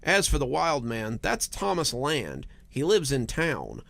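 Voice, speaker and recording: Male narrator as small-town policeman, horror story, dismissive, unbothered, matter-of-fact, varied pitch. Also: huge pitch swings, measured pace, creaky, fairly bright tone, heavily acted.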